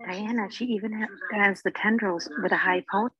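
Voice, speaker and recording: A woman's voice: medium pitch, steady tone, fairly quick, pushed and bright, husky, dry recording, muffled recording. A woman on a podcast panel, chatting casually about a TV episode.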